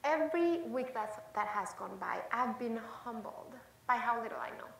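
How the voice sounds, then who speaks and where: A woman in her forties with a Mexican accent speaks on a stage panel through a lapel mic, lively and chatty. Her voice sits fairly high, and the hall adds a roomy echo with a faint hiss behind her. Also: moderate pace, creaky, distant mic. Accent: Mexican Spanish. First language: Spanish. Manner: animated, talks with her hands, rambling. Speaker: panelist, cooking teacher. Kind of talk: panel discussion. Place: stage at a panel event, indoors.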